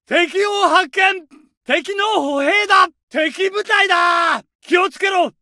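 A man's voice: very high-pitched, slow, dry recording, studio quality, full character voice. A man voicing a young male soldier in a video game, barking urgent battle calls and orders. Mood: alert. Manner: shouted, urgent military barks. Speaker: infantry soldier. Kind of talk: game combat voice lines.

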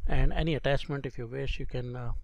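Gravelly male software instructor, dry close sound. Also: medium pitch, fairly even intonation, no room sound. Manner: halting, instructional, step by step. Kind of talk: screen-recorded software tutorial.

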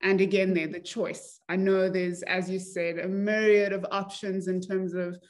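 A woman's voice: mid-range voice, level tone, unhurried. A young woman hosting a podcast interview over a video call, friendly and enthusiastic as she asks her questions. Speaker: podcast host.

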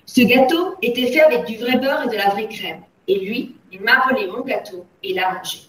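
A woman's voice: fairly high pitch, expressive, moderate pace, close to an everyday voice. A woman reading a story aloud as a tyrannical headmistress, barking orders and insults in a bullying manner. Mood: furious, accusing. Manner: barks commands and theatrical insults. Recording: roomy echo, distant from mic.

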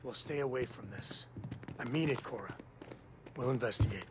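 An actor playing a protective, wry space-cowboy father in a video game, with a husky, rough-edged voice and a casual, folksy way of talking. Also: mid-range voice, normal speed, heavy background noise, natural voice. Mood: grave. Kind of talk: video game dialogue acting.

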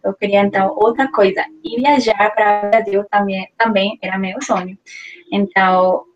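A woman's voice: medium pitch, steady tone, normal speed, lo-fi sound. Hesitant young Colombian woman speaking Portuguese. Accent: Spanish-speaking Colombian. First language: Spanish. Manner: hesitant, many restarts and fillers, laughs easily. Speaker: guest, Portuguese learner. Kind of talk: casual interview. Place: small room at home.

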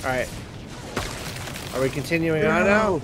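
Higher-pitched man playing a co-op game. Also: expressive, measured pace, over music. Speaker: co-op video game player. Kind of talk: casual gameplay chat.

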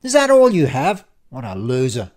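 A man's voice: medium pitch, wildly swooping pitch, moderate pace. Man acting a sneering, menacing audiobook bully. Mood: scornful. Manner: sneering, hissed threats, loud contemptuous bursts. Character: menacing, sneering, foul-mouthed male bully, contemptuous and vindictive. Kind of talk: audiobook character dialogue.

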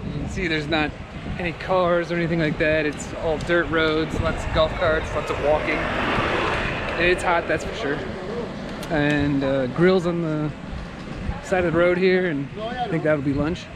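A man's voice: fairly high pitch, steady tone, measured pace, heavy background noise. A man in his thirties chatting casually to a handheld camera outdoors as he vlogs his travels. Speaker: travel vlogger. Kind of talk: casual vlog chat to camera. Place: outdoors on streets and docks. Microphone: handheld camera at arm's length. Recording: loud music over outdoor speech.

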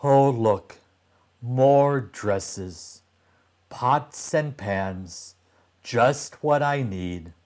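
A man's voice: mid-range voice, very slow, a little mellow. An older man voicing a sarcastic, complaining young boy from a children's storybook. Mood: grumbling. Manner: complaining, blurting.

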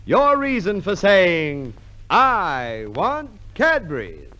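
A man's voice: high voice, wildly swooping pitch, slow, bright, forceful tone, faint music behind. A man announcing a scripted 1950s radio advertisement. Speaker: commercial announcer. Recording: dull 1950s radio recording.

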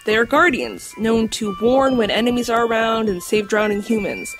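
A woman playing an earnest, scholarly guide to mythical creatures in an audio drama, explaining in a measured, slightly formal way. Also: fairly high pitch, close to an everyday voice. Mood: explanatory. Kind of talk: audio drama acting, storytelling. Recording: music under the voice.

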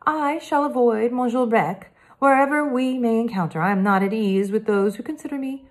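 A woman's voice: on the high side, moderate pace, voice pushed up, natural voice. A woman doing a formal boy detective. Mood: earnest. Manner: stiff formal phrasing, courteous. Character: polite formal French boy sleuth.

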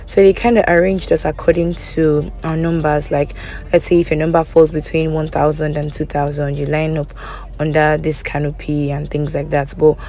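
Hoarse woman vlogging by phone. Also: low voice, little pitch movement, normal speed. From Nigeria. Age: 20s. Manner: chatty, wry and exaggerating. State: hoarse, voice worn out. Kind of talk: casual vlog, voice-over and selfie clips. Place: outdoor camp grounds and inside a car. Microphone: phone camera at arm's length. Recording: loud crowd and music behind.

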